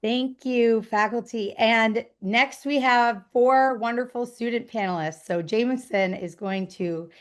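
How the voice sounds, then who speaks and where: Female webinar moderator, voice on the high side, delivering semi-scripted introductions. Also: unhurried, hardly any pauses.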